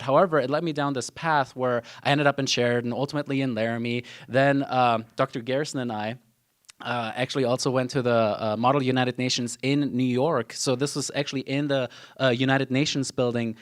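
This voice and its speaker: A man in his twenties, from Germany, giving a university talk with slides as a law student, with frequent "um" and "uh" fillers and casual asides. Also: mid-range voice. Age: 20s.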